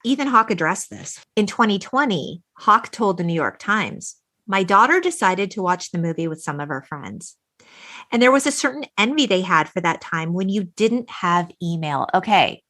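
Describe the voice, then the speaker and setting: A woman hosting a film podcast, chatting in an emphatic, expressive way and acting out or reading quotes as she goes. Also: medium pitch, normal speed. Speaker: podcast host. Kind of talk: animated film chat, retelling and reading out quotes.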